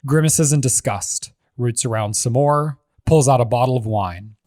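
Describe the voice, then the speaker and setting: A male narrator reads screenplay action lines in a scripted style. His voice is mid-pitched, and the recording is dry with very little room sound. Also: moderate pace, a little mellow, no echo.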